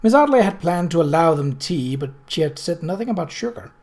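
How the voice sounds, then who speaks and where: A man's voice: a little high, expressive, a little fast, darkish tone. A man narrating a novel aloud in a scripted storybook style. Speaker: audiobook reader.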